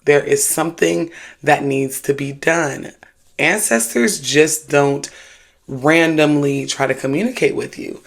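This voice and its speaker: Creaky-voiced, sassy video host. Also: medium pitch, steady tone, measured pace. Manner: sassy, slangy, emphatic. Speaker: YouTube host and spiritualist storyteller. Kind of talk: casual commentary with read-aloud paranormal stories.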